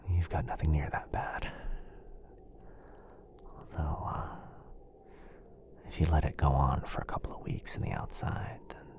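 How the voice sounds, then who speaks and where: Gentle wizard healer for ASMR roleplay, played by a man: low, half-whispered, soothing and hesitant. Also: steady tone, creaky, full character voice. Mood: subdued, reflective. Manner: hesitant, murmuring, soothing, pausing often. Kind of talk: boyfriend ASMR roleplay, soft and intimate.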